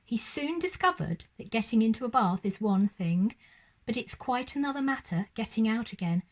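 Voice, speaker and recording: A woman's voice: on the high side, animated, normal speed, rough recording. A woman telling a children's story expressively, like a teacher reading aloud.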